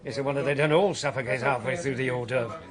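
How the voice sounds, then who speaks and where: An actor playing a nosy British news reporter who trails the police with dry, sardonic wisecracks in a radio drama. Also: male voice, medium pitch, fairly even intonation, fast, crowd noise, lightly acted. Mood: wry, amused. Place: studio radio drama production.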